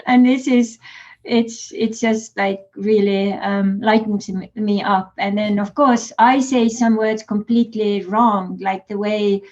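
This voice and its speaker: A woman with an Estonian accent hosts a podcast in a friendly, halting way, with many fillers. Her voice sits at a middle pitch. The audio sounds a little dull and lo-fi. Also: steady tone, darkish tone. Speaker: podcast host. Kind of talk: casual interview.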